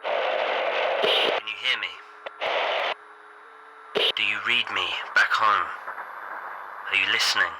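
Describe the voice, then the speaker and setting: An actor playing a British army radio operator in the war, reporting watchfully in a dramatic transmission. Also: male voice, on the low side, steady tone, slow, pauses often. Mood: watchful, urgent. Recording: very noisy crackling field-radio transmission.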